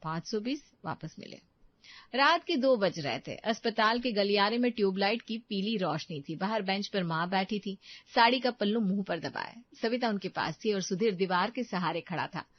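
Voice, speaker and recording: A female storyteller reads a scripted drama in a medium-pitched voice. She delivers it in short, deliberate phrases for dramatic weight. The sound is very dry and a little dull. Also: animated, no room sound.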